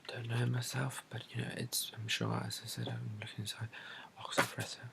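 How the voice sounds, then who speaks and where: A soft-spoken British man in a breathy ASMR bookshop roleplay, describing books in a hesitant, rambling way and repeating his phrases. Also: medium pitch, sing-song, fairly quick. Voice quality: breathy, soft, often dropping to a whisper. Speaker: ASMR creator playing a bookshop assistant.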